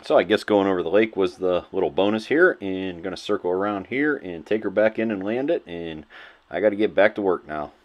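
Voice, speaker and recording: A man from Illinois narrating his own video in a relaxed, rambling way with frequent fillers. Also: low voice, a little fast. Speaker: farmhand vlogger. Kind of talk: casual voice-over narration.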